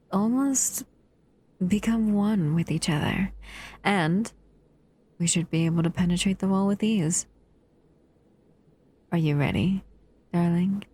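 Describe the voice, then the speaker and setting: A woman voicing a breathy, doting monster. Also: on the low side. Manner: soft, doting, often whispering. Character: doting possessive female monster escaping a cell. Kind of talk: audio roleplay.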